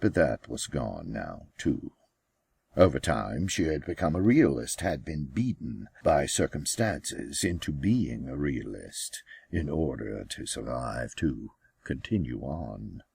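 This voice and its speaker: A man reading his own fiction as an audiobook, with deliberate, dramatic phrasing and pauses. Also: rumbling low, creaky, darkish tone, breathy edge, no echo. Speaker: author reading own novel. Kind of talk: scripted audiobook narration.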